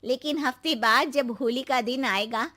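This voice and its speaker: Taunting, ominous phantom a woman acts it, high voice, animated tale, buried in loud noise. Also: measured pace. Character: sinister unseen spirit, scheming and taunting. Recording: very noisy, clicking background.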